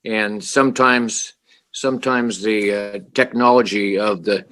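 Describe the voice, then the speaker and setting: A man on a city council who speaks loudly and haltingly, often restarting his sentences, calling in to the meeting remotely. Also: lowish voice, steady tone. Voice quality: loud voice. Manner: halting, many repeated starts, earnest. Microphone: remote video call.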